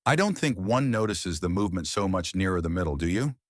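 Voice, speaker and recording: A man's voice: sits low, expressive, a little fast, no echo, clean studio sound. A man voicing a cheerful, spirited young English society woman from a pulp story, chatty and teasing in manner. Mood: friendly.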